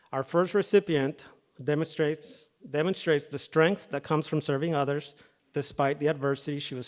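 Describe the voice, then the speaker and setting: A man, a firefighter paramedic, giving a prepared speech at an awards ceremony podium, his voice a little off from recent dental work, starting with fillers and then settling into steady reading. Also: on the high side. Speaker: firefighter paramedic and foundation president. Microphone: podium microphone.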